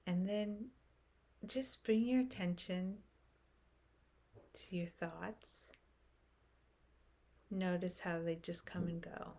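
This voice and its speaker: A woman leading a guided meditation in a gentle, unhurried manner, speaking in short phrases with long pauses. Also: lowish voice, steady tone.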